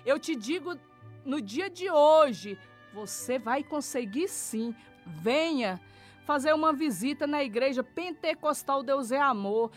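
Woman, middle-aged, São Paulo state accent, high-pitched. Earnest church testimony to listeners, music underneath. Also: expressive, slow pace. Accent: Brazilian, São Paulo state. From São Paulo state, Brazil. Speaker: church member giving testimony. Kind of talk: personal religious testimony addressed to listeners.

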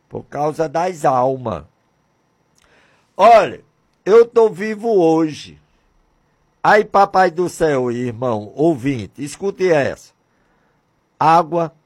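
A man in his sixties from Brazil, talking on religious radio in a fervent, repetitive way with many rhetorical questions. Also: a little high. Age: 60s. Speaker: radio presenter.

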